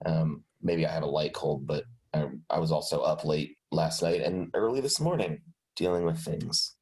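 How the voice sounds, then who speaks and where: Deep-voiced man, stuffed up. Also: normal speed, a little mellow. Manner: rambling, many restarts and hedges. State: stuffed up, light cold. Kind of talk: casual meeting discussion. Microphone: video call.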